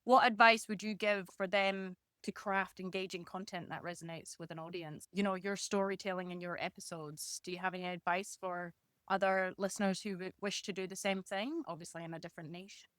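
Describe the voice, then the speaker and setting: A woman's voice: fairly low pitch, fairly even intonation, brisk, a bit bright, no echo, clean studio sound. A woman hosting a podcast interview, asking conversational questions and responding in an encouraging way.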